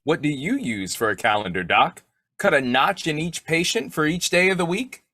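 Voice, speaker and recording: A man playing a blustery, boyish, quick-tempered bumbling husband in old-time radio comedy, with a folksy, slangy, argumentative way of talking. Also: fairly high pitch, big pitch swings, pushed and bright, no echo, full character voice. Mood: teasing.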